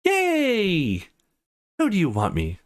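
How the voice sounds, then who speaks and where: Man reading as a playful, animated young game heroine. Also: sits high, slow, pitched above the performer's own voice, full character voice. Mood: playful, eager.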